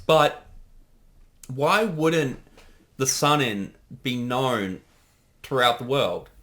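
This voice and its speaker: A man's voice: mid-range voice, expressive, drawn-out words, fairly bright tone. A man giving casual, rambling creator commentary, often interrupting himself. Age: 30s. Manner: hesitant, rambling, self-interrupting.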